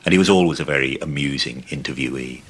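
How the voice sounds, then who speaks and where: Very deep-voiced man, recollecting. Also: fast talker, a bit bright, slight background noise. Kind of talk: documentary interview recollection.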